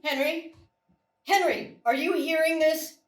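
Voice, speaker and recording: An actress in a live script reading playing a stern, unflinching colonel with a firm, curt, commanding manner. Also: female voice, high-pitched, steady tone, unhurried. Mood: tense, businesslike. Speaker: actor in a live screenplay table read. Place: small live theatre stage. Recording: distant, echoey live theatre recording.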